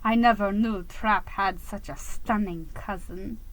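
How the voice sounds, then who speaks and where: Woman as slow, murmuring smitten sailor mouse. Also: mid-range voice, natural voice, low-quality recording. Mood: lovestruck. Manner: murmuring, drawn-out flattery. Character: smitten, flirtatious male sailor mouse, smooth-talking.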